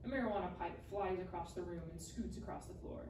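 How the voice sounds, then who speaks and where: A woman's voice: lowish voice, fast, a little mellow. A woman reading scripted screenplay narration aloud at a live table read. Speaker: stage directions reader. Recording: echoey room, distant mic, clear noise.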